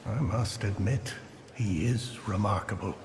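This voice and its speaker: An actor playing a composed, genial male companion in a video game, with a calm, dry delivery. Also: lowish voice, measured pace, crowd noise, natural voice. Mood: courteous. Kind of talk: video game cutscene acting.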